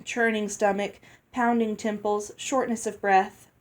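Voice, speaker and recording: A woman reading a children's novel aloud as an expressive storybook narrator. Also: medium pitch, unhurried. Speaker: audiobook reader.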